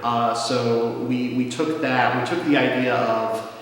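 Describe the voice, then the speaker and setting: A man's voice: mid-range voice, steady tone, slow, heavy reverb, big-room sound, over music, low-quality recording. A young man involved in radio theatre, speaking casually and wryly in a documentary interview. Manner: casual, wry, many restarts. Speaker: radio theatre writer and performer.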